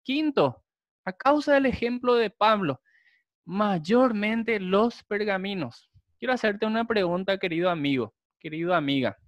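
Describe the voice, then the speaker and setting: A young adult man, a Guarani speaker, preaching a sermon, with slow, emphatic repetition. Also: sits high, animated, dry recording, lo-fi sound. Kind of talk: sermon with prayer.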